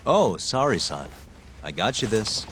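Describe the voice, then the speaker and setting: An actor playing a cool, good-natured investigator hero in a video game drama, speaking casually and with self-assurance. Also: male voice, lowish voice, very animated, unhurried, background noise. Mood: polite, puzzled. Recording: music behind the dialogue.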